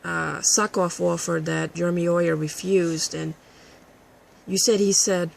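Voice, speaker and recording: Casting: woman, raspy husky voice with gravelly fry, combative profane vlog rant. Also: on the low side, level tone, a little slow, slight background noise. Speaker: vlogger. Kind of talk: addressing a critic to camera.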